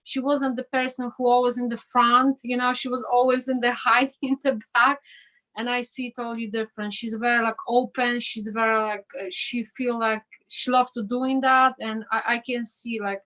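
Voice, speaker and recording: A woman, a parent on a webinar panel, speaking haltingly and searching for words over a video call. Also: fairly high pitch, flat delivery, bright, forceful tone. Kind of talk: casual panel chat.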